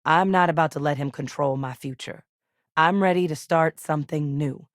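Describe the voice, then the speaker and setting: An actress playing a composed, principled middle-aged woman scientist in a space video game, speaking in a measured, earnest way with dry wit. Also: deep voice, no echo, close to an everyday voice. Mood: measured, serious. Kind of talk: video game character dialogue.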